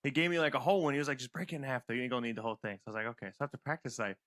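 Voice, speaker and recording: A man's voice: mid-range voice, rapid-fire. A man in his thirties, a podcast co-host, telling casual anecdotes in slangy, profane talk. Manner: slangy, animated storytelling with profanity.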